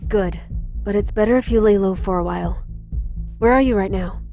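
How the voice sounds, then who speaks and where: A woman's voice: mid-range voice, normal speed, gravelly, mellow, close to an everyday voice. A woman playing an earnest, protective informant in a visual novel, giving guarded, urgent warnings in clipped phrases. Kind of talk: visual novel character acting. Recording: game music behind voice.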